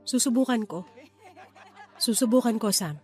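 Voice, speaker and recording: A woman plays a grieving mother in a radio drama, wounded and weary. Her voice is clearly high and full of sorrow, with faint music behind it. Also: lively intonation, normal speed, darkish tone, lightly acted. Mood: apologetic, sad. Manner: emotional, sorrowful. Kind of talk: Filipino radio drama acting. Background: background music.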